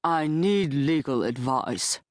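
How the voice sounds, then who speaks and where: A woman voicing a thin, gloomy old man in his late sixties who speaks in a slow, formal and dryly sour way. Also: sits low, animated, no room sound, clean studio sound. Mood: glum, formal. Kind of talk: audiobook character voice.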